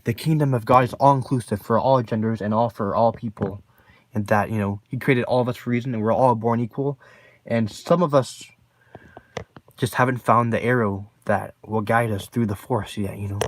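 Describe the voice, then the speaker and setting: A deep-voiced man talking casually to the camera in a personal vlog, rambling and filling his talk with constant "you know"s and repeated phrases. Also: fairly even intonation, moderate pace, dry recording. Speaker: vlogger.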